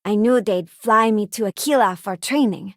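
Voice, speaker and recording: A woman's voice: medium pitch, normal speed, dry recording, studio quality, lightly acted. Earnest, idealistic spacefaring ex-deputy woman played by an actress. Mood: earnest, reflective. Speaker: video game companion character. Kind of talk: acted video game voice lines.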